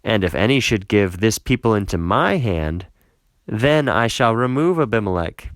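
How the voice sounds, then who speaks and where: A man's voice: on the low side, expressive, normal speed, dry recording, studio quality, lightly acted. Man reading as a defiant, declamatory rebel. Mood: defiant, scornful. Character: defiant, boastful male rebel challenging a ruler.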